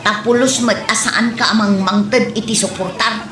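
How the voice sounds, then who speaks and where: A woman's voice: mid-range voice, fairly even intonation, normal speed, a crowd in the background, close to an everyday voice. A woman playing a plaintive, struggling abandoned mother in an Ilocano comedy audio drama. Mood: reproachful. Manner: plaintive, reproachful. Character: Ninita, wronged, struggling young abandoned mother. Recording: roomy, music underneath.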